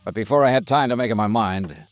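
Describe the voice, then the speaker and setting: A man acting a cool, terse insurance investigator in a 1950s American radio drama, narrating in a clipped, deadpan way. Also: lowish voice, quick pace, natural voice. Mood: wry, matter-of-fact. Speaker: lead actor and narrator. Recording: old radio recording with music.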